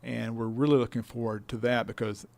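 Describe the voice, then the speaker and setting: A man with a creaky, gravelly edge talks in a radio interview. He explains things conversationally and plainly, in folksy stop-and-start phrases, recorded close and dry. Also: mid-range voice, level tone, a little fast, a little mellow, no room sound. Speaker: business incubator director being interviewed.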